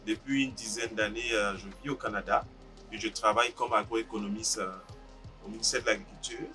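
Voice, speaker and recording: A man from Côte d'Ivoire, an agroeconomist describing his coordination role in a measured interview. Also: on the low side, steady tone, sharp tone. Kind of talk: interview testimony. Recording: distant mic with clear music behind.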